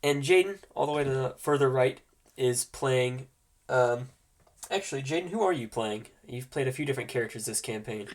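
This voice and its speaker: A man acting as game master with a congested, nasal voice from a cold, explaining things informally and haltingly as he hosts a tabletop roleplaying game. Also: mid-range voice.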